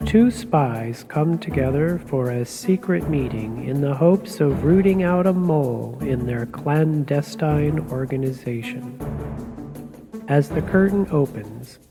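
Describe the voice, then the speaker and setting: Slow male narrator over music. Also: on the high side, expressive, gravelly. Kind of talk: scripted narration.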